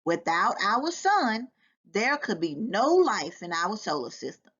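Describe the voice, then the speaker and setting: A woman reading a nonfiction book aloud to children in a deliberate, instructive way. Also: medium pitch, very animated, a bit bright, breathy edge. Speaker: volunteer children's book reader. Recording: dull, lo-fi sound.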